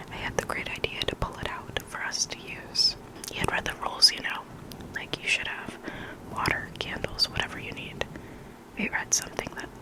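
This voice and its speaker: An ASMR host reading creepy listener stories in a soft, breathy, intimate whisper with deliberate phrasing. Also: low-quality recording.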